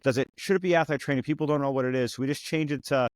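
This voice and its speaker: A man's voice: medium pitch, racing, no room sound, clean studio sound. A man around fifty hosting a podcast interview, talking casually and rambling a little, with frequent restarts. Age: 50s. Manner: relaxed, rambling with frequent restarts and "you know". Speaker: podcast host.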